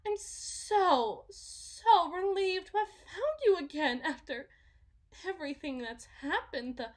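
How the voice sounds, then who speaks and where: A woman playing a delusional, possessive mother in a dramatic ASMR roleplay, halting and repeating herself theatrically, shifting between hushed and intense. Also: very high voice, animated, full character voice. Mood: tearful relief. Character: delusional, possessive mother smothering her supposed lost child.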